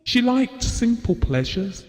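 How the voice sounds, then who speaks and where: Tender, wistful husband, mellow and reverberant. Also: male voice, extremely high pitch, big pitch swings, slow, soft background music, full character voice. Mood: fond, wistful. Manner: tender, reflective storytelling. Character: devoted, wistful, estranged husband reminiscing about his marriage. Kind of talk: intimate audio drama monologue.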